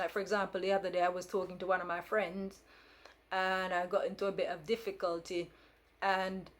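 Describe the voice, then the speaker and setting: A woman's voice: fairly low pitch, a bit bright. A woman in her fifties whose first language is Jamaican Patois tells a story to camera in a casual, rambling way, with many fillers and restarts and a firm, frank edge. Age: 50s. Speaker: vlogger.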